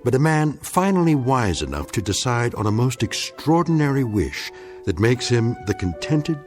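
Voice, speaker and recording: A man giving the theatrical closing narration of a radio drama, measured and deliberate. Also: medium pitch, very animated, faint music behind, lightly acted. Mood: ominous.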